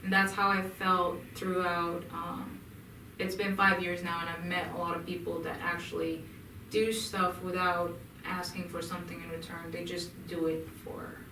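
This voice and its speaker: A young woman from Egypt answering interview questions in a hesitant way, with many pauses and restarts. She is recorded in an echoey room with the mic some distance away. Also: lowish voice, little pitch movement, bright, forceful tone, a little hiss or hum. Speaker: interview guest.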